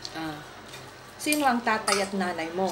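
A woman's voice: medium pitch, lively intonation, moderate pace, very noisy. A middle-aged woman with a Filipino accent, chatting casually at a home dinner table and quizzing her cousin, laughing and mixing Tagalog with English. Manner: playful, laughing, switching between Tagalog and English. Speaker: vlogger. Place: home dining room at a table. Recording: clattering dishes and cutlery nearby.

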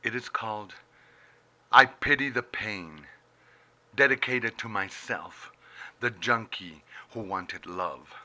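A middle-aged man performs spoken-word poetry in a low, very forceful voice. He goes extremely slowly, leaving long pauses between short phrases. His delivery is intense and theatrical, recorded up close in a room. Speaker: poet reading own poem. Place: indoors, small book-lined room. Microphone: handheld camera very close to face.

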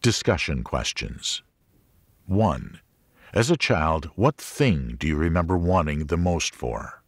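A man reading a religious audiobook as a scripted narration, in a measured, clearly enunciated manner. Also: a bass voice, big pitch swings, no echo.

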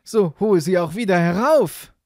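A merciless storybook stepmother, acted by a man rails in a high, swooping voice. She is loud and harsh in her scolding. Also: animated, measured pace, dry recording, clean studio sound. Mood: angry scolding.

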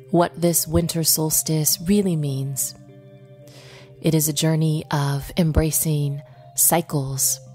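Slow, low-pitched woman guiding meditation. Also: mellow. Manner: unhurried, pausing between short phrases. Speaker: meditation guide. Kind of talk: guided meditation.

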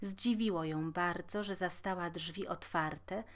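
A woman's voice: fairly low pitch, slow. A woman reading a scripted fairy tale aloud as a storyteller.

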